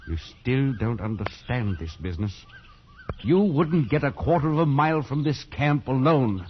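A man's voice: medium pitch, big pitch swings, moderate pace, lightly acted. An actor playing a stern, worldly colonial camp man in a post-war radio drama, speaking with authority and grim caution. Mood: grave warning. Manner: authoritative, admonishing. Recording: dull, noisy vintage radio recording.

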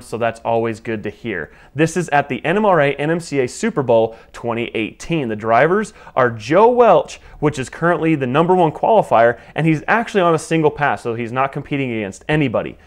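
A man in his thirties with an American accent, casually presenting a show as host from a small garage studio. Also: fairly high pitch. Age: 30s. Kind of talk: casual scripted presenting to camera.

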